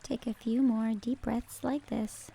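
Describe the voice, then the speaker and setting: A woman narrating a scripted guided meditation in a calm, gentle, measured manner. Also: a little high, vocal fry, husky.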